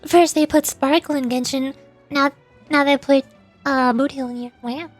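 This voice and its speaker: Female game streamer, high voice, playful rambling chat, faint music behind. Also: steady tone, moderate pace. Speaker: streamer and amateur voice actor. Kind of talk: casual game livestream commentary.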